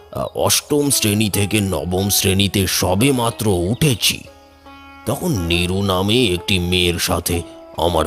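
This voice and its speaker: Cartoon tea seller, middle-aged and weary, played by a man: low-pitched, unhurried, dramatic storyteller. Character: thin weary old village tea seller recounting his hard past.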